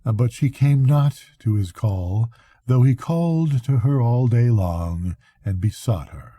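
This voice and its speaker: An older man reading a bedtime story as a grandfather, in a solemn, measured way. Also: medium pitch, expressive, dark tone, no echo. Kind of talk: scripted bedtime story narration.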